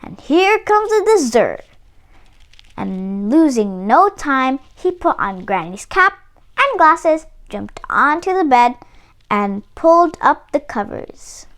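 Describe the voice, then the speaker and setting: A woman reading a children's story aloud in a deliberate, expressive narrating style. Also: sits high. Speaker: storybook reader.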